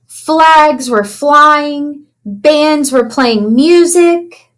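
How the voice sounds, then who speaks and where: Female children's read-aloud teacher: high register, very measured pace, animated delivery, chatty asides to young listeners. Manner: animated storytelling, asking children simple questions.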